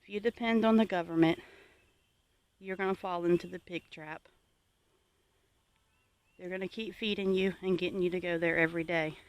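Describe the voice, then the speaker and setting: An earnest homesteader from the United States speaks straight to viewers in a plainspoken, advisory way. The pitch is mid-range and the sound is close and dry, with very little room in it. The delivery is an informal, reflective monologue. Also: steady tone, moderate pace, long pauses, dry recording. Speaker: homesteading vlogger.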